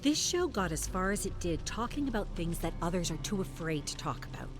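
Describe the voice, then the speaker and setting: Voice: mid-range voice, big pitch swings, fairly quick, pitched above the performer's own voice, natural voice. A narrator voicing a determined female talk show host who pushes back hard against her producer. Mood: impassioned. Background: game music.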